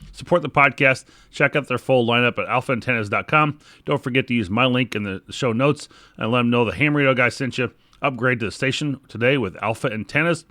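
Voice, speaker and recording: Male, American, podcast presenter. Medium-pitched voice, scripted reads and informal asides, very dry studio-like sound. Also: sharp tone, no echo. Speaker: podcast host. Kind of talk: podcast news reading and sponsor reads.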